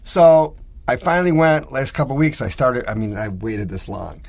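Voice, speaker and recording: A man's voice: mid-range voice, lively intonation, moderate pace, vocal fry. Rambling middle-aged man telling stories. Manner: rambling storyteller, laughs at himself. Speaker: podcast host. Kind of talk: casual podcast chat. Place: podcast studio.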